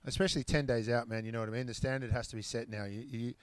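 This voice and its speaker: A man hosting a casual podcast chat, animated and swearing freely, acting out what people say. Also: mid-range voice, racing, smooth, dry recording. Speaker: podcast host.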